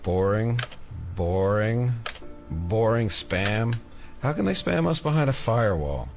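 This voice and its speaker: An actor playing a wry, earnest FBI agent obsessed with conspiracies in an audio drama. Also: male voice, on the low side, big pitch swings, slow pace, vocal fry, over music, full character voice. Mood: bored, wry.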